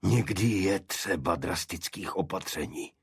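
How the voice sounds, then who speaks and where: A man voicing a fanatical, vengeful English gentleman in an audiobook, with impassioned, indignant delivery. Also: deep voice, vocal fry, breathy edge, no room sound, clean studio sound, full character voice. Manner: impassioned, indignant, halting repetitions.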